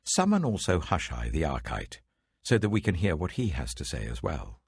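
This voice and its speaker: Man playing an imperious, husky usurper prince. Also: very deep voice, wildly swooping pitch, normal speed, no echo, studio quality, heavily acted. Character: ambitious young usurper prince, imperious and questioning.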